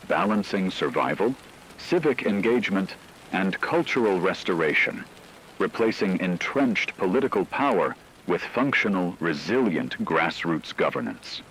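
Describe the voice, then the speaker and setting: A man reading scripted radio news as an anchor, with a formal, measured delivery. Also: low-pitched, fairly bright tone, a little hiss or hum, poor audio.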